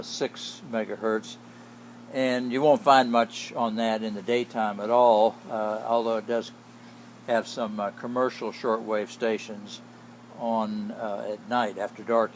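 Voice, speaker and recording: A man giving a casual, unscripted demonstration as a hobbyist, speaking in a plain, halting way. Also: mid-range voice. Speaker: hobbyist radio restorer. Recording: clear background noise with hum.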